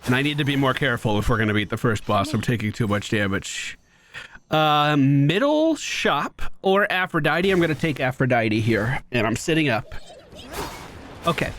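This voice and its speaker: A man's voice: medium pitch, big pitch swings, moderate pace, a bit bright, a little hiss or hum. A man hosting a gaming channel, giving upbeat, playful live commentary as he plays. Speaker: gaming host.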